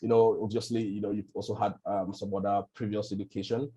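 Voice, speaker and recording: A man moderating an online panel over a video call, relaxed and leaning on "you know" as he talks. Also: lowish voice, quick pace, breathy edge. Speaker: webinar moderator.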